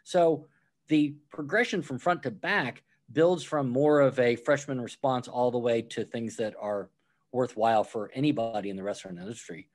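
A man's voice: medium pitch, normal speed. A man who wrote a book on restaurant management, talking it through as a podcast guest and walking through examples step by step. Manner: explains with worked examples, acts out quoted replies. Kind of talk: conversational podcast interview.

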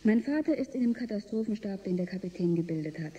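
A woman's voice: medium pitch, animated, fast, mellow, muffled recording, lightly acted. An actress playing an anxious, skeptical woman aboard a stranded spaceship in a German science-fiction radio drama, with a pointed, doubting delivery. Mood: resigned, anxious. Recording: music behind the voice.

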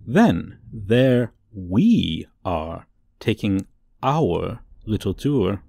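Casting: male actor as smug, teasing supervillain for comedic audio roleplay, low and mellow, theatrical. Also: big pitch swings, vocal fry, dry recording, clean studio sound, full character voice. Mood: mock-sweet, gloating. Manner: theatrical, teasing, drawn-out delivery. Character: gloating, suave, theatrical male supervillain toying with a young hero.

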